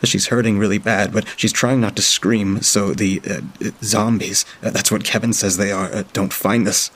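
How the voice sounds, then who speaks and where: A man narrating as a frightened young child keeping a diary, speaking haltingly with frequent filler sounds. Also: fairly low pitch, little pitch movement, a bit bright, husky. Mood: hushed, halting. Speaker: storyteller. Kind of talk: first-person diary reading.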